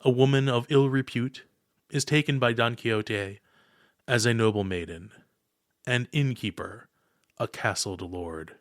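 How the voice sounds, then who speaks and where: Guy narrating a podcast essay, formal and oratorical, deliberate pauses, really dry sound. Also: mid-range voice, no echo. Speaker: podcast host.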